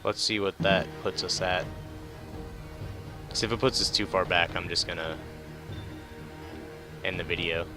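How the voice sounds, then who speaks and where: Low-pitched man doing gameplay commentary over music. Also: fairly even intonation, a little slow, long pauses. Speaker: let's-play host.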